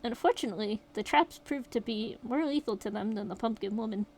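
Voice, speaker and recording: A woman voicing a shaken young survivor, reading the account aloud in a steady, rueful way. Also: on the high side, brisk, a little hiss or hum, natural voice. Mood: grim retelling. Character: shaken young survivor recounting a horror night.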